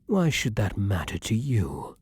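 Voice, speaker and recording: Man playing a breathy, brooding vampire king. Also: fairly low pitch, very animated, a little mellow, no echo, exaggerated voice. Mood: guarded, hostile. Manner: formal archaic phrasing, dramatic pauses, breathy murmurs. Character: centuries-old vampire king, haughty, brooding, secretly romantic. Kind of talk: intimate ASMR roleplay monologue.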